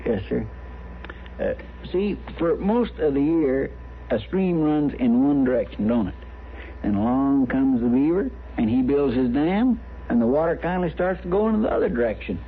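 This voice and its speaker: Radio western sidekick: sheepish rural American deputy, creaky, lightish voice, deferential, rambling, on a tinny old recording. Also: male voice, mid-range voice, expressive, normal speed. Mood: evasive, earnest. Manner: rambling, hesitant, folksy, deferential. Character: sheepish, lovesick, folksy deputy of a frontier marshal. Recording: tinny, faintly noisy old radio broadcast.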